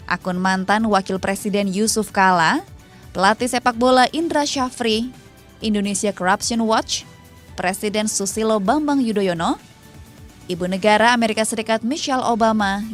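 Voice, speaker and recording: Female news narrator, mid-pitched, even scripted read, faint music underneath. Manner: even, informative delivery.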